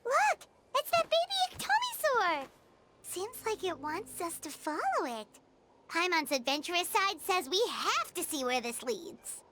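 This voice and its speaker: A woman voicing a tiny, excitable, childlike fairy companion in a video game, squeaky and chatty, full of exclamations. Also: a little slow, background noise, heavily acted. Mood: excited. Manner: exclamatory, speaks of self in third person. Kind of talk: cartoonish video game voice acting.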